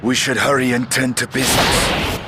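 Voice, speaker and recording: A man's voice: medium pitch, little pitch movement, moderate pace, very noisy, lightly acted. A man playing a terse, battle-hardened warrior with a grave manner in a video game cutscene. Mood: resolute.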